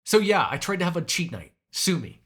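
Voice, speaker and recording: A man's voice: high voice, big pitch swings, a little fast, a bit bright, breathy edge, exaggerated voice. A man voicing a hungry, cranky, flirtatious male vampire in an ASMR audio roleplay, sarcastic and given to swearing. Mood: angry, cranky. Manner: sarcastic, swearing, moody, shifting from murmurs to exasperated outbursts.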